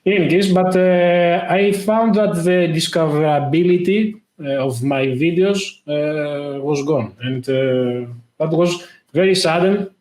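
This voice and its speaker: A man talks casually as a guest, stopping often to hunt for words. His voice sits a little high for a man. The sound bounces around an echoey room. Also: darkish tone. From Greece. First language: Greek. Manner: halting, lots of "uh" fillers. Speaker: guest, tech YouTuber. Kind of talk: casual interview talk.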